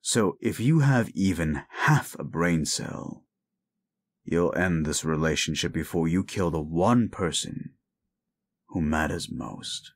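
A man playing a bitter, grieving, gruff werewolf in an audio drama, cynical and scolding. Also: low voice, animated, slight background noise. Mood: scornful. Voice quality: gruff, gravelly. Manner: cynical, scolding, deliberate storytelling. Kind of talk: audio roleplay drama acting.

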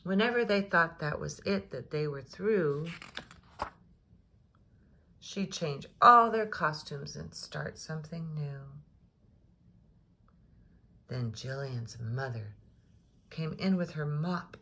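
Female picture-book reader: slow, creaky low voice, rhythmic, chatty asides to kids. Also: lively intonation, sharp tone. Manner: rhythmic rhyming delivery, engaging listeners with questions. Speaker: storyteller reading to young children.

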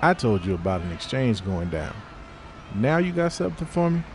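A man's voice: fairly low pitch, big pitch swings, moderate pace, a faint crowd, slight background noise. A man playing a cornered fugitive informant in an audio drama, speaking in a bitter, curt, streetwise and profane way. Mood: curt, guarded. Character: defiant, cornered male fugitive turned reluctant informant. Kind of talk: scripted audio drama dialogue.

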